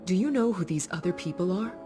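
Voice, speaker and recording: Actress as female fantasy game companion, lowish voice, earnest, caring, gentle seriousness, clear music behind. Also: expressive, fast, close to an everyday voice. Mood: concerned, earnest. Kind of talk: video game voice acting.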